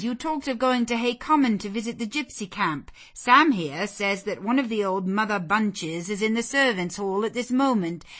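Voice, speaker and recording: A woman voicing a sociable, persuasive gentleman colonel in a storybook, in a coaxing, declamatory manner. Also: on the low side.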